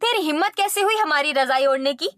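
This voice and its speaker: A woman's voice: sits high, animated, brisk, fairly bright tone, dry recording, studio quality, pitched above the performer's own voice. A woman voicing a greedy, cruel woman who taunts her poor in-law in a Hindi cartoon story. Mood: threatening. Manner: scornful, taunting.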